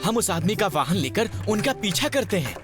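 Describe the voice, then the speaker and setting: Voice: mid-range voice, big pitch swings, normal speed, over music, lightly acted. An actor voicing a dutiful, fretful cartoon clerk to a god of death, formal and deferential. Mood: earnest. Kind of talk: theatrical Hindi cartoon voice acting.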